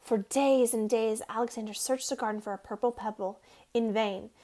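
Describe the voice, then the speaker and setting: A woman reading a children's storybook aloud as an expressive narrator. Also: fairly high pitch, normal speed, a little hiss or hum. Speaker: storybook reader.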